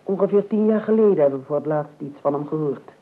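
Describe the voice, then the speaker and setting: An actress playing a prim, formal older aunt in a radio play, dry and sharp-tongued. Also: female voice, deep voice, fast. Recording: tinny, band-limited old radio recording.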